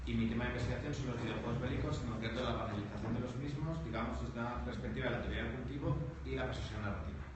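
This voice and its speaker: A man with a Spain accent gives a brisk introduction of himself and his research. His mid-pitched voice is far off in an echo-heavy room. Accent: Spanish (Spain). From Madrid, Spain. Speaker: doctoral student. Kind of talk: self-introduction to a group. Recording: echoey room, distant mic, background noise.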